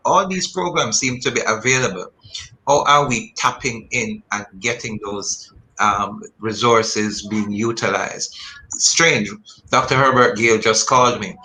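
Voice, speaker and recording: A man's voice: fairly low pitch, measured pace, sharp tone, low-quality recording. A man hosting a radio talk show, asking earnest probing questions and reading out listener greetings. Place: radio studio.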